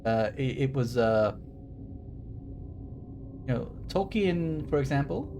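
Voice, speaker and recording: A man's voice: expressive, slow pace, warm tone. A man giving bookish, wry commentary as a game streamer, sometimes reading passages out. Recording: clear rumbling background noise.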